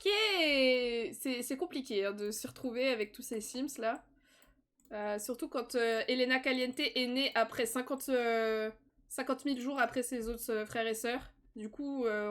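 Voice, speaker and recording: A woman's voice: a little high, normal speed. Chatty young woman streamer. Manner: chatty, laughs often, sudden excited squeals. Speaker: streamer playing a life-simulation game. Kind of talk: casual live-stream commentary.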